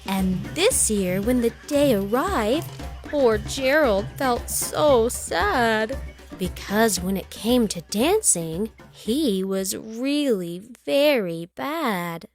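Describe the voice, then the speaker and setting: A woman with a fairly high voice reads a children's story aloud. Her delivery is very slow, rhythmic and expressive, and faint music plays behind her. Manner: deliberate, rhythmic verse reading. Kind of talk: expressive scripted read-aloud for young children.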